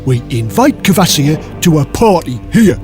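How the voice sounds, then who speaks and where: A man's voice: fairly high pitch, very animated, measured pace, a little mellow, soft background music. Guy doing a nasty bossy dwarf plotter, muttery and impatient, voice swooping around, storybook. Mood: scheming. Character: mean, scheming, bossy dwarf villain. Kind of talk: audiobook character voice.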